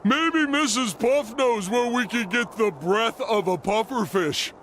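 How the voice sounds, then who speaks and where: A man's voice: extremely high pitch, noisy background. A man voicing a dim-witted, easygoing starfish sidekick in a cartoon game, with a goofy manner. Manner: slow, goofy.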